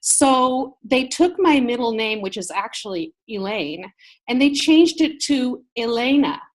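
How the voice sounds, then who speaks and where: A woman's voice: a little high, lively intonation, unhurried, low-quality recording. An American woman, a gardening blogger, chatting as a guest on an interview and telling stories with light laughs. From United States. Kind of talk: casual interview chat.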